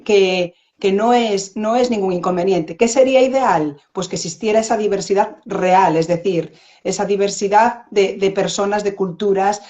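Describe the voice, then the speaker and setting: A woman's voice: mid-range voice, darkish tone, muffled recording. A woman guest in a live online interview, speaking reflectively and hesitantly, often repeating words.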